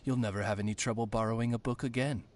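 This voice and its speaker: Game role: faithful raven retainer, played by a man, mid-pitched, formal and politely wry. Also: steady tone, fast, lightly acted. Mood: dry, wry. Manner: formal, deferential, dryly wry. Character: courtly, dry-witted loyal male raven familiar. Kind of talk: video game character voice lines.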